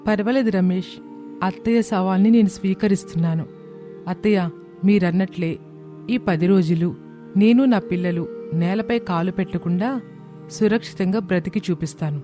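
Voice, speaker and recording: A man's voice: high voice, moderate pace, long pauses, dark tone, lightly acted. A man voicing a brave young daughter-in-law and mother in a cartoon moral story, determined and reassuring. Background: background music.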